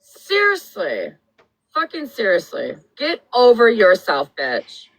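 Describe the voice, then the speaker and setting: A woman in a live-stream chat talks bluntly and sassily and swears freely. Her voice is bright and rises and falls a lot. The sound is dry and close. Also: medium pitch, wildly swooping pitch, slow pace, no room sound, rough recording.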